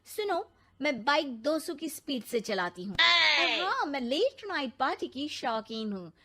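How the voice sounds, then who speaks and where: A woman's voice: high-pitched, sing-song, a little slow, bright, forceful tone, exaggerated voice. A woman playing a bold, sporty, self-assured young bride in a cartoon story. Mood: brash, cocky. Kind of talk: cartoon moral story acting.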